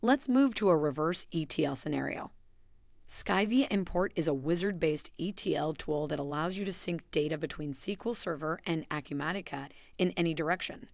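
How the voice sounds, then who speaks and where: Low, gravelly woman narrating a tutorial. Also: fairly quick, dry recording. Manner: even, instructional delivery. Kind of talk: scripted screencast voice-over.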